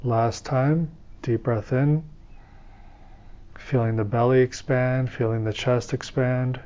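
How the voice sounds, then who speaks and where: A man guiding a slow, soothing meditation session, giving gentle step-by-step instructions. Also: mid-range voice, steady tone, clean tone, dull audio. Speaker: meditation teacher. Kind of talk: guided meditation.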